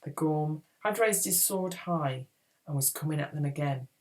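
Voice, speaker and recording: A woman reading a children's adventure book aloud in a dramatic, expressive storytelling style. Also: low voice, normal speed, a little hiss or hum. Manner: expressive, acts out dialogue. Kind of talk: dramatic read-aloud narration.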